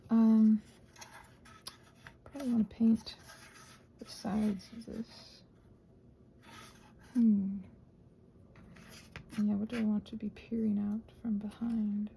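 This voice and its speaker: A woman with a fairly high, creaky voice rambles casually as she works on a craft. She speaks softly, sometimes nearly whispering, thinking aloud and reassuring herself. Faint noise sits behind her. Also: little pitch movement, takes it slowly, pauses often, low-quality recording. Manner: soft-spoken, often dropping to a whisper; musing aloud, self-reassuring. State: short on sleep. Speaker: craft vlogger and ASMR host.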